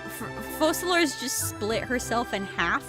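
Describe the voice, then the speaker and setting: A woman chats casually while streaming a game. She speaks slowly but with very expressive pitch, in a giggly, rambling way. Game music plays clearly behind her. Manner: giggly, chatty, tangential. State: sick. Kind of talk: casual live game commentary. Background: game music and dialogue.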